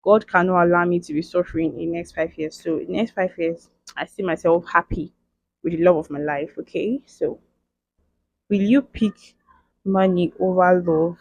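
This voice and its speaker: Young woman, twenties, lowish voice, dry close sound, playful chat, "okay" tags. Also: steady tone, normal speed, a little mellow, no room sound, rough recording. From Nigeria. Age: 20s. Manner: chatty, playful, emphatic, with frequent "okay" and "like" tags. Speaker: vlogger. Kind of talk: casual Q and A vlog.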